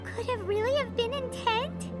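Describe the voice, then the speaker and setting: A woman voicing a tiny, childlike fairy companion in a video game, squeaky, inquisitive and expressive. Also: moderate pace, loud music behind the voice. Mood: surprised. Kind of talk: video game dialogue acting.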